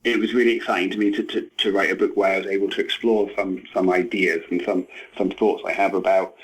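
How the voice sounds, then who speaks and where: A man in his forties giving a thoughtful interview, speaking haltingly with repeated words and many verbal hedges. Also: deep voice, level tone, slightly rough, low-quality recording. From Scotland. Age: 40s. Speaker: interview guest, novelist and screenwriter.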